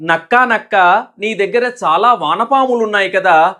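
A man's voice: sits high, normal speed, sharp tone. A man voicing a greedy, gullible eagle in a children's fable, asking in a wheedling way.

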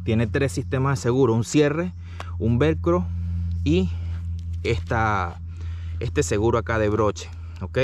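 A man giving a casual, explanatory hands-on product review, often pausing to check the listener follows. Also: mid-range voice, lively intonation. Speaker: product reviewer, channel host. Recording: distant mic, faint background noise.

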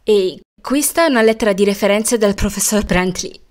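A woman's voice: mid-range voice, moderate pace, darkish tone, close to an everyday voice. A woman voicing a nervy, indignant young female student in an amateur drama fan dub, talking in a rambling, emphatic, dramatic way. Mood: polite, nervous. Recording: music behind the voice.

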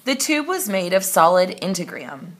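A woman reading a children's story aloud in an expressive narrating style. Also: medium pitch, moderate pace. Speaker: storybook reader.

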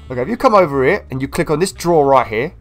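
A young man with a slight cold, casually commentating a video game walkthrough in an excitable, chatty way. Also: a little high. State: slightly ill, sniffly. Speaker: gameplay video host. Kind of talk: casual let's-play commentary.

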